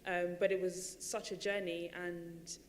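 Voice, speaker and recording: A woman's voice: on the low side, little pitch movement, measured pace, long reverb tail, slight background noise. Chatty woman on a theatre stage. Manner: chatty, many fillers and restarts. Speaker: panel speaker, running coach. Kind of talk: panel talk on stage.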